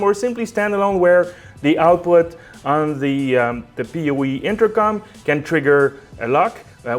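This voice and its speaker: A man giving a casual product demonstration as a technical support director, conversational, with many "um"s and frequent checking "okay?"s. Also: a little high. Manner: fillers "um" and "uh" between phrases.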